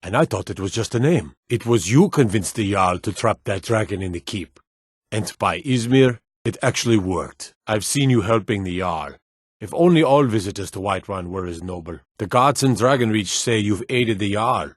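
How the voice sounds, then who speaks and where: A man's voice: on the low side, animated, moderate pace, breathy edge, no room sound, studio quality. An actor playing a wary, plainspoken male city guard in a fantasy video game, with a stern, folksy way of talking. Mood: awed, then respectful. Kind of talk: scripted fantasy game dialogue.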